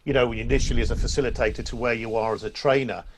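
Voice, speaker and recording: Affirming male interviewer, mid-pitched. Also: fairly even intonation, racing. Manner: encouraging, affirming with frequent yeahs. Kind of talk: conversational interview.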